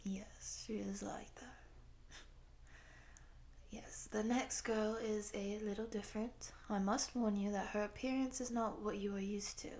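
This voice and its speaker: A woman playing a polite exchange program host in a roleplay, explaining and gently cautioning in a soft, breathy whisper. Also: medium pitch, fairly even intonation, unhurried, natural voice. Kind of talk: whispered roleplay audio addressed to the listener.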